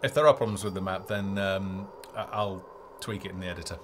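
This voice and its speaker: A man's voice: low-pitched, a little fast, background noise. A man giving live gameplay commentary as a developer and stream host, thinking aloud hesitantly. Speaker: game developer hosting a livestream. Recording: game music behind the voice. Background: game music.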